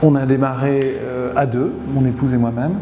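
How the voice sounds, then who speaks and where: A middle-aged man from Tunisia, a company CEO answering interview questions, who pauses often and hesitates mid-sentence. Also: mid-range voice, fairly even intonation, mellow. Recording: echoey hall with noise behind.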